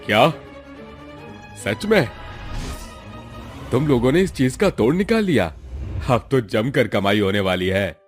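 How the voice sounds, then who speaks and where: A man's voice: mid-range voice, lively intonation, unhurried, long pauses, lightly acted. A man acting a treacherous, greedy boss in a cartoon story, with music behind him. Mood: greedy delight. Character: greedy, treacherous male boss, gloating villain. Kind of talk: Hindi cartoon villain acting.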